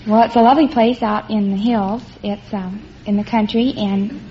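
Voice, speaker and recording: A woman's voice: mid-range voice, steady tone, normal speed, warm tone, a little hiss or hum. A nineteen-year-old woman answering a quiz show host's questions, shy and giggly, with short replies. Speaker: contestant. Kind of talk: radio quiz show interview. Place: radio studio before a live audience. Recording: old lo-fi radio broadcast.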